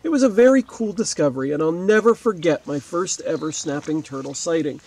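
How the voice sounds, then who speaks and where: A man in his forties with a high-pitched voice teaches young children by reading aloud. His delivery is expressive and explanatory, as a schoolteacher's would be. He speaks outdoors, a few steps from the camera. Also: a little fast, dry recording, poor audio. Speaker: fourth-grade teacher. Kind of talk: read-aloud lesson for children, with asides. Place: outdoors beside a wetland lake.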